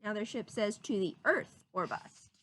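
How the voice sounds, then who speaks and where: A woman reading a children's picture book aloud in an animated teacher's way, drawing out words and pausing to put questions to young listeners. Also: mid-range voice.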